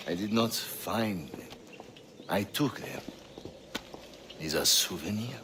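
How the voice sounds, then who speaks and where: An actor playing a sly, jovial male arms dealer, chuckling through a drawn-out, theatrical delivery in a game cutscene. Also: lowish voice, animated, noisy background. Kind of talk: video game cutscene acting.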